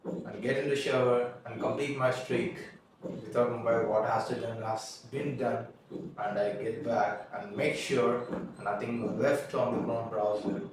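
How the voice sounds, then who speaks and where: A young man whose first language is Tamil, telling a halting, earnest confessional story and often restarting his words. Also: medium pitch, steady tone, noisy background. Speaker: vlogger. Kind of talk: confessional monologue. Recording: echoey room, mic at a distance.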